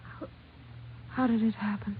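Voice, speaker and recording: An actress playing a polite, fragile small-town doctor's wife in an old radio drama, with a halting delivery. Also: female voice, mid-range voice, steady tone, natural voice. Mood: stunned, grieving. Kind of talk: 1950s radio drama acting. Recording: tinny, noisy old radio recording.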